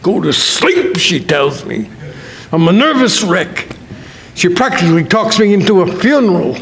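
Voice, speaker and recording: A man's voice: sits high, sing-song, measured pace, a bit bright, reverberant, a little hiss or hum, heavily acted, rough recording. An older man playing a grumpy, anxious, long-suffering husband in an old-time radio comedy style, complaining and exasperated.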